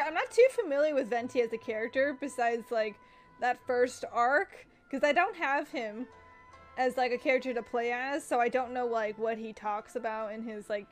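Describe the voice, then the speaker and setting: A woman game streamer giving casual live commentary, reading aloud and reacting. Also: a little high. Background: game music.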